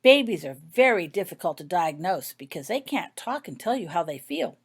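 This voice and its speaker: A woman voicing a calm, kindly magical healer with a measured, instructive manner in a storybook reading. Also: lowish voice, husky, heavily acted. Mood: calm, encouraging.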